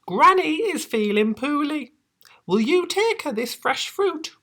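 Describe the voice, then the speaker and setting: A man doing a high-voiced caring mum. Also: big pitch swings, takes it slowly, sharp tone, full character voice. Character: kind, caring mother.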